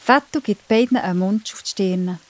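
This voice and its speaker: A woman's voice: mid-range voice, moderate pace. A woman with a Swiss accent narrating a children's story.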